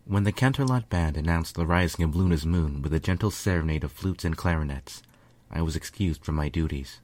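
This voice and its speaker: A man's voice: very deep voice, brisk, darkish tone, no room sound. A man reading a piece of fiction aloud as a scripted narration. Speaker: fan fiction reader, narrator.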